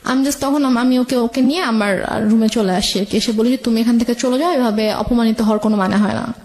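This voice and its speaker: High-voiced Bangladeshi woman telling her story. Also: steady tone, brisk, darkish tone. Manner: mixes English words into speech. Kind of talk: personal storytelling in a radio interview.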